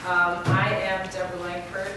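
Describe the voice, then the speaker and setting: A middle-aged woman from California introducing herself at a panel, telling animated stories and laughing. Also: lowish voice, normal speed. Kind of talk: self-introduction with anecdotes. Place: hotel event room. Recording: echoey, noisy room from far off.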